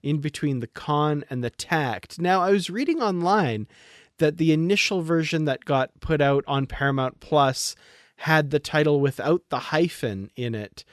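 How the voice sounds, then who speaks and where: A man's voice: fairly high pitch, animated, unhurried, no echo. Man hosting, close dry sound. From Canada. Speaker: podcast host. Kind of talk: casual review discussion.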